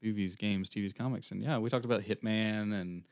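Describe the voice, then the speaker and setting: Young male podcast co-host, low and slightly hoarse, casual rambling delivery, dry close sound. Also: brisk, a little mellow, dry recording. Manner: rambling recap with fillers. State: losing his voice, airy. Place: small podcast room.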